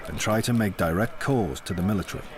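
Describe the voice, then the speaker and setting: A man's voice: low voice, fast talker, crowd noise. A man reading scripted, dramatic documentary narration. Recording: loud music under voice.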